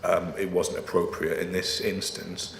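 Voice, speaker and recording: Low, flat-voiced planning officer, a man. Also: creaky, reverberant, big-room sound, slight background noise. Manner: measured, formal, frequent ums. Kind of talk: explaining procedure in a council meeting.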